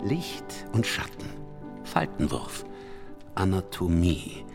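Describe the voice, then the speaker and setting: A male actor playing a proud, melancholy old painter in a radio play monologue, terse and brooding, with a gravelly voice. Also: low voice, big pitch swings, takes it slowly, full character voice. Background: music.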